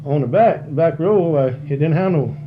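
A man's voice: a little high, animated, moderate pace, phone-quality sound, slight background noise. Folksy seventy-year-old man. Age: 70s. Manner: rambling, folksy, repeats himself. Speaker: interviewee. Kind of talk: oral history reminiscence.

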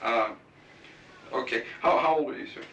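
A man in his late twenties interviewing, talking quickly and stumbling through many restarts. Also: medium pitch, fairly bright tone. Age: 20s. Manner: quick, halting, full of restarts. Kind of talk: casual oral-history interview. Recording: roomy, distant, hissy tape recording.

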